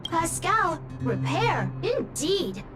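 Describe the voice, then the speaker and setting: Game robot, caretaker type, loyal and simple,; very slow, high, clipped phrases over game music. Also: female voice, very animated, full character voice. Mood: earnest. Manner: halting broken phrases, one or two words at a time. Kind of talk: video game cutscene dub.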